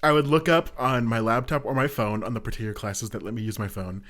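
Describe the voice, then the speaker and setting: A man's voice: mid-range voice, steady tone, quick pace, fairly bright tone. A young man hosting a casual podcast, talking in a loose, rambling way full of fillers and frequent laughter. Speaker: podcast host. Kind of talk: casual chat.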